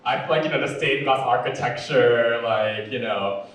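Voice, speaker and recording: A male stand-up comedian with a deep voice and a sweet, fruity cadence, telling jokes in a campy, chatty way full of "like" and "you know". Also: moderate pace, fairly bright tone, recorded from a distance. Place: comedy club stage. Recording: very echoey room, voice off-mic.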